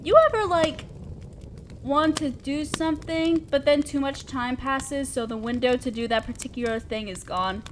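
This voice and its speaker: A woman's voice: high voice, moderate pace, a little hiss or hum, lightly acted. A woman playing a shy, awkward, kind young neighbor in an intimate roleplay audio drama, speaking hesitantly and apt to ramble self-consciously. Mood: friendly, curious. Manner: hesitant, soft-spoken, rambling, self-deprecating. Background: outdoor fire ambience.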